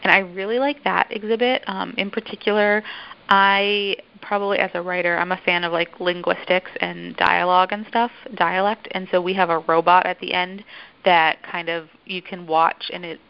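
A woman who writes for a museum, answering interview questions thoughtfully with many filler pauses. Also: fairly low pitch, flat delivery, creaky, clear, resonant tone, lo-fi sound.

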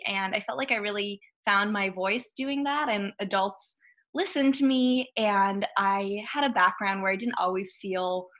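A woman's voice: medium pitch, bright, forceful tone. A woman in her twenties with an American accent, giving conversational, reflective answers as a podcast guest. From Hawaii, United States. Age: 20s. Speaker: podcast guest, political science graduate.

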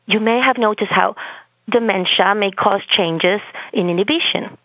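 Spanish-accented woman therapist, gravelly, dry phone-quality audio. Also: mid-range voice, moderate pace, dry recording. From Spain. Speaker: expressive arts therapist. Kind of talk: podcast interview.

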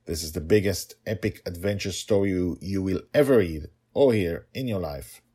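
A middle-aged man hosting a storytelling podcast, talking and narrating in an emphatic, playful way with deliberate repetition. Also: low voice, mellow, slightly rough. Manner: emphatic, playful, repeats himself for effect. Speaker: storytelling podcast host and author.

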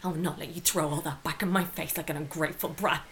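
A woman's voice: deep voice, steady tone, fast, fairly bright tone. An actress playing a stern, exasperated middle-aged Irish mother in a radio drama, scolding and commanding. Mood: angry, hurt. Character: stern, exasperated mother of a rebellious teenage daughter.

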